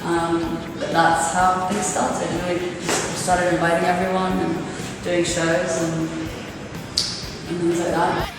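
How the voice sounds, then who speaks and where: A young woman from Turkey chats loosely and haltingly, full of ums and restarts, her voice pitched a little low. She sounds set back from the mic in an echoing room, with music playing clearly behind her. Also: level tone, recorded from a distance. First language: Turkish. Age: 20s. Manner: rambling, hesitant, many fillers. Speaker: gallery owner. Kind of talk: casual interview talk.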